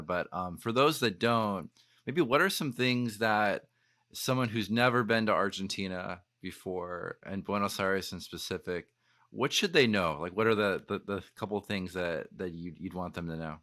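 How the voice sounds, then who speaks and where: A man's voice: sits low, moderate pace, sharp tone, dry recording, studio quality. A man hosting an interview podcast, asking questions with a lot of "uh" and "um". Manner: hesitant, many fillers. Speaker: podcast host.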